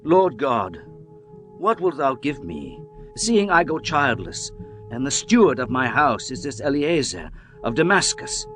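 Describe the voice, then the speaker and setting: A man's voice: mid-range voice, sing-song, a little slow, fairly bright tone. A man voicing an ancient patriarch who speaks earnestly and pleads with his god, a little distant over music. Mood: pleading.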